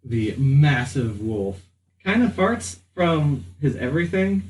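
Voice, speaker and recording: A man's voice: mid-range voice, very animated, takes it slowly. Guy game mastering a tabletop session, takes his time, bit far from the mic in a roomy space. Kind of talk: improvised narration of a tabletop game. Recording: echoey room, off mic, background noise.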